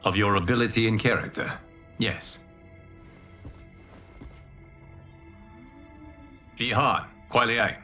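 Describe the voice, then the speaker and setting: A calm god of fire for a game cinematic. He speaks low and formally, with measured authority, over faint music. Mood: solemn. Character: calm, solemn male fire god, protector and mentor. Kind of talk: video game cinematic acting.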